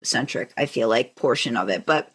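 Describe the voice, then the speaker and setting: A woman co-hosting a podcast in a chatty, informal, animated way. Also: extremely low pitch, moderate pace, slightly rough. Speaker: podcast co-host. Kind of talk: casual podcast chat.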